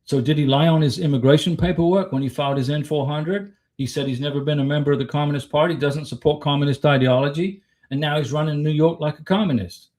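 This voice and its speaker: American guy doing a casual livestream, slightly high voice, blunt n opinionated, lots of rhetorical questions. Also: fast, hardly any pauses, a little mellow. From Florida, United States. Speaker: livestream host. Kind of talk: casual opinionated livestream talk, answering chat comments.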